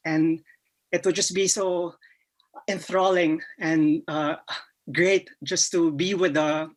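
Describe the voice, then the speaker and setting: A man from the Philippines with a Filipino accent, giving a timed practice answer for a speaking exam, with frequent pauses and restarts. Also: fairly high pitch, fairly bright tone. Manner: hesitant, many fillers and restarts. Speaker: IELTS student.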